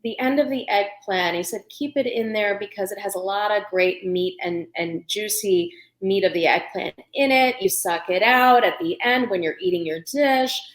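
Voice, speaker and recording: A middle-aged woman, raised in the United States, with a light Indian accent, telling stories as an interview guest in a lively, chatty way. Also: medium pitch, normal speed, few pauses. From India, raised in Pennsylvania. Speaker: guest, food blogger and cookbook author. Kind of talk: conversational interview storytelling. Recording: roomy echo.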